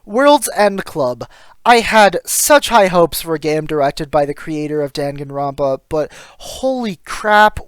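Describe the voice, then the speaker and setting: A man's voice: high-pitched, a bit bright, no echo. A man reading scripted voice-over commentary as a video game reviewer.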